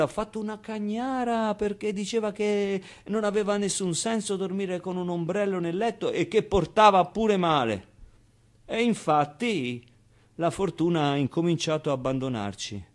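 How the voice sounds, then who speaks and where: An actor playing a wistful, streetwise man who recalls his orphaned boyhood in an expressive, colloquial theatrical monologue. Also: a little high, natural voice. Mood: playful, animated. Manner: expressive, clownish, with pauses and repeated phrases. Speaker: stage actor. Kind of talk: theatrical monologue, colloquial first-person storytelling.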